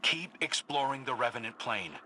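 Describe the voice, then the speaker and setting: An actor playing a steady, businesslike mission commander, giving matter-of-fact briefings in a video game. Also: male voice, mid-range voice, moderate pace, a little hiss or hum. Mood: focused.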